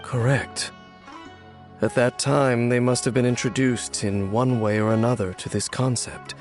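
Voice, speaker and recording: An actor playing a stern, world-weary ancient warrior in a video game, grave, measured and formal, with a gravelly voice. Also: male voice, fairly even intonation, soft background music, natural voice. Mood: brooding, reflective. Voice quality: low, gravelly.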